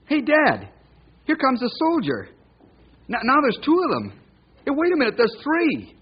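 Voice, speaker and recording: Anxious, high-voiced frontier boy. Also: wildly swooping pitch, normal speed, breathy edge, exaggerated voice. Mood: urgent, pleading. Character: pioneer rancher's young son, anxious, earnest, eager. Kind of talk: old time radio western acting. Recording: noisy, muffled old radio recording.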